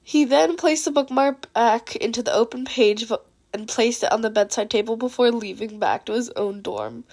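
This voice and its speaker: A woman with a fairly high voice reads a story aloud in an amateur audiobook style. She sounds slightly sick as she narrates. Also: normal speed, vocal fry, darkish tone. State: sick with a cold. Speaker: fan fiction narrator.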